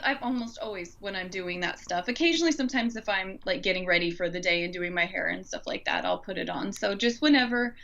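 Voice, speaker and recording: A woman's voice: lowish voice, fairly bright tone. A woman co-hosting a podcast in an informal chat, thinking aloud, on slightly dull audio. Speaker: podcast co-host.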